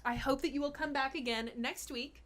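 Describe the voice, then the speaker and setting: A woman's voice: sits high, steady tone, a little fast, fairly bright tone. A young woman hosting a casual live-stream Q&A as a health coach, chatty and giggly with lots of fillers. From Austin, Texas.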